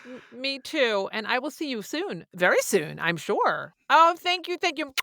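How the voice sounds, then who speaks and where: A woman's voice: high voice, big pitch swings, measured pace, background noise. A middle-aged woman hosting a casual interview, talking in a gushing, exclamatory way. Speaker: podcast host.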